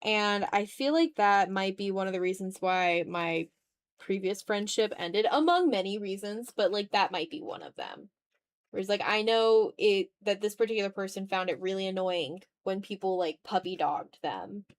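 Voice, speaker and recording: Casual, animated young woman streamer. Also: mid-range voice, moderate pace. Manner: animated, slangy, self-deprecating. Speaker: art livestreamer. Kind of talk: casual livestream chat while drawing.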